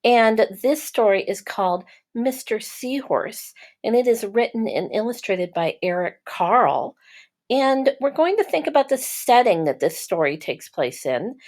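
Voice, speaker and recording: A woman teacher narrating a children's storybook in a measured, explanatory way for young listeners. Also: mid-range voice, a little mellow. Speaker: teacher reading aloud.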